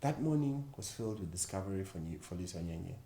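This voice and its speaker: A man reading a children's story expressively, with dramatic pauses and emphasis. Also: low-pitched, expressive, warm tone. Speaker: volunteer storyteller at a children's book club. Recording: echoey room with faint background noise.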